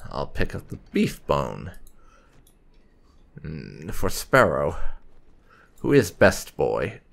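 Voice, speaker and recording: A man's voice: extremely low pitch, gravelly. A man giving casual let's play commentary, rambling and musing to himself as he goes. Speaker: gaming video host.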